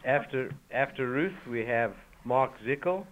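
Man, radio guest, friendly, introduces others, mid pitch. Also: expressive, a little slow, muffled recording. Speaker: choir member, guest. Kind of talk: radio interview.

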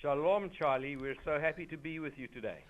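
A man's voice: on the high side, fairly quick, muffled recording. A man, a choir member who is a guest on a radio interview, introducing his colleagues in a friendly, orderly way.

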